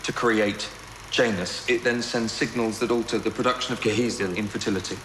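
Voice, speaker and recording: An actor playing a man in a screen drama, explaining in a measured way. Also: lowish voice, fairly even intonation, a bit bright, close to an everyday voice. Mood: measured, confiding. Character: man explaining a secret scientific scheme. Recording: echoey, noisy film clip.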